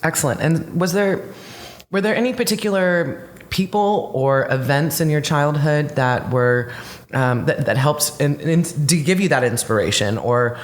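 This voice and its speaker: A woman's voice: low-pitched, unhurried. A woman hosting a podcast interview, asking questions with hesitant restarts. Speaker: podcast host.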